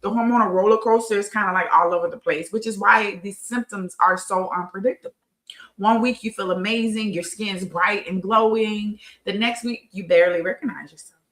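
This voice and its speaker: A woman's voice: mid-range voice, brisk, a bit bright, rough recording. A fifty-year-old woman giving an informal educational talk as a host, conversational and emphatic, repeating phrases for stress. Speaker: host of a women's health talk show.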